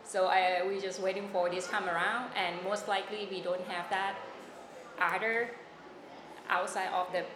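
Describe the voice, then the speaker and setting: A woman from Thailand, a Thai speaker talking in English, being interviewed. She speaks haltingly and fills her pauses a lot while she hunts for words. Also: mid-range voice, little pitch movement. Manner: halting, many fillers, searching for words. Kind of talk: casual oral history interview. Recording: echoey room with noise.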